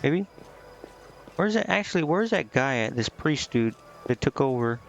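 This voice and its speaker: Man, medium pitch, casual game streamer, rambling, thinking aloud, muffled lo-fi sound. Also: big pitch swings, moderate pace, creaky, a little hiss or hum. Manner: rambling, thinking aloud, stumbling over unfamiliar names. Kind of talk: casual live commentary, reading game dialogue aloud.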